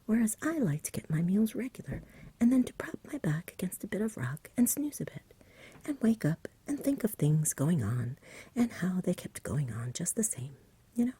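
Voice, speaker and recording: A woman voicing a lazy, genial, poetry-loving male dragon from a storybook in a soft whisper, with a chatty, polite, dreamy manner. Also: fairly low pitch, very animated, moderate pace, slightly rough, no room sound, heavily acted. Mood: languid, chatty.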